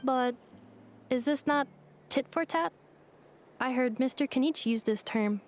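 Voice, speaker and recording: A woman's voice: sits high, a little slow, slight background noise. A woman voicing a curious, emotionally naive machine character in a video game, with stiff, formal, clinical phrasing. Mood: earnest puzzlement. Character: literal-minded female machine being, curious, emotionally naive.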